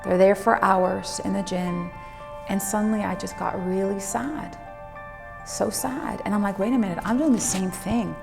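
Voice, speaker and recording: A woman telling her personal story reflectively, unhurried and emphatic, with dramatic pauses. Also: mid-range voice, vocal fry. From Netherlands. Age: 40s. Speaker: fitness instructor and speaker. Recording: long reverberant hall, music underneath. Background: music.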